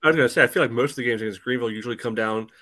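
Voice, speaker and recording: A man's voice: medium pitch, racing, a crowd in the background. A man giving casual soccer analysis as a podcast panelist, in a conversational, hedging way.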